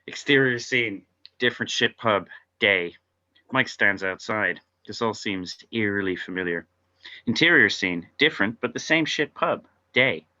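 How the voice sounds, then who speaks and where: Bright, forceful man reading stage directions. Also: on the low side, animated, a little slow, muffled recording. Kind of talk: scripted narration at a table read.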